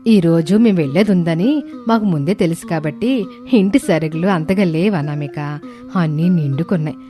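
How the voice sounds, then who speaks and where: Woman playing a mellow, shrewd married aunt. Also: lowish voice, normal speed, faint music behind, natural voice. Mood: sly politeness. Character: shrewd married aunt, feigning sweet regret to outwit relatives. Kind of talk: animated moral story dialogue.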